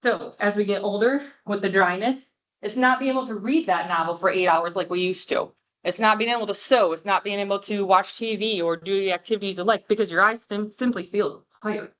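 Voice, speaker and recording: Big-voiced woman giving a health talk. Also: medium pitch. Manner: warm, passionate, clear explaining. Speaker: eye doctor presenting. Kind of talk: educational health presentation. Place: room with a live audience, talking away from the mic. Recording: dull lo-fi room recording.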